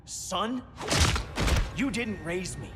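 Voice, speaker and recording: Cocky young assassin kid from a game, high voice, contemptuous, score under it. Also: male voice, sing-song, drawn-out words, loud music behind the voice, heavily acted. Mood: sneering defiant. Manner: sneering, contemptuous, defiant. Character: arrogant young male assassin-trained sidekick, ruthless. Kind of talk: video game cutscene acting.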